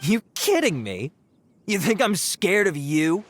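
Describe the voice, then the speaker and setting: A woman playing a brash, defiant bandit in a video game, cocky and rough-voiced. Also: fairly low pitch, very animated, a little slow, faint music behind. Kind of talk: video game villain acting.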